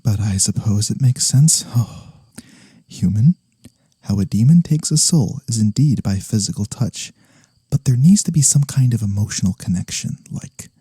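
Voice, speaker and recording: A man playing a gentle, honorable incubus demon in a soft-spoken ASMR fantasy roleplay, measured, formal and softly teasing. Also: fairly low pitch, slightly rough, no room sound, heavily acted.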